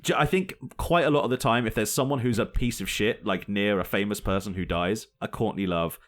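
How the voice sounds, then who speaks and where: A man's voice: fairly low pitch, a little fast. A man co-hosting a casual podcast chat, rambling and joking with frequent laughs. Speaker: podcast co-host.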